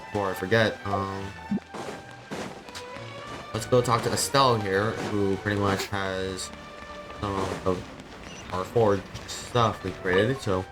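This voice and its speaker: Casting: low-voiced gameplay streamer, casual and low-key, murmuring plans aloud over clear game music. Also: fairly even intonation, slow pace, long pauses.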